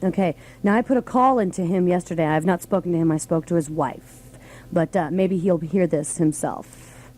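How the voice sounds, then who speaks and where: A woman's voice: fairly low pitch, moderate pace, dark tone. A woman chatting casually as she presents radio clips. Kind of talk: casual chat.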